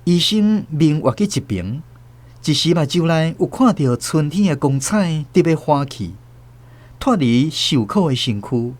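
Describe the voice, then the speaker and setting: A man from Taiwan narrating a radio drama in a calm, measured storytelling voice. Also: a little high. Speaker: host and narrator. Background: soft violin music.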